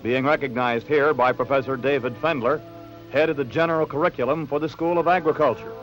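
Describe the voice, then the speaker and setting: An American man reading scripted narration for a late-1960s newsreel in a measured, polished announcer delivery. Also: mid-range voice, fairly even intonation, poor audio. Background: background music.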